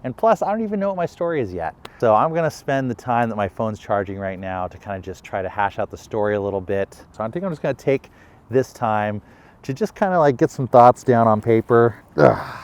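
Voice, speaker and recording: A man's voice: medium pitch, lively intonation, brisk, darkish tone. A man talking casually to camera as a vlogger, thinking aloud with hesitant restarts. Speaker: video creator vlogging a filming challenge. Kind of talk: casual vlog talk to camera.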